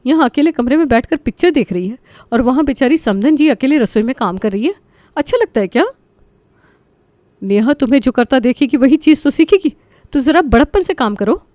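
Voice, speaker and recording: A woman's voice: sits high, animated, a little fast, darkish tone, no room sound, voice pushed up. A woman voicing an indignant mother scolding her grown daughter, sharply and emphatically, in a story.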